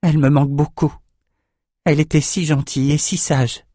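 A man voicing a gentle, wistful young woman, a devoted sister, in a fantasy audiobook. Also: on the high side, a little fast, no echo, studio quality, voice pushed up, close to an everyday voice. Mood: sad, wistful.

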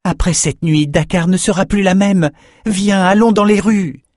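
A man's voice: high voice, a little slow, a little mellow, dry recording, voice pushed up. An earnest, exalted young swordsman from a fantasy audiobook, acted by a man. His voice sits raised and pleads with urgency. The sound is close and dry. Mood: urgent pleading. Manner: urgent, imploring delivery. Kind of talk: audiobook character acting.

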